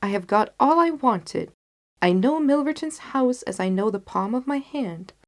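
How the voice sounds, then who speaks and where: A woman reading the part of a confident, determined gentleman detective in a storybook, with emphatic, articulate delivery. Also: a little high, animated, unhurried, pitched above the performer's own voice. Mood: amused, confident. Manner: emphatic, articulate, self-assured.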